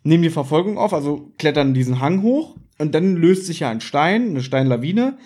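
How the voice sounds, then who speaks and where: Man, fairly high-pitched, casual conversational review, playful debating. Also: animated, normal speed, a little mellow. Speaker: podcast co-host. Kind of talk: casual chat, audio drama review.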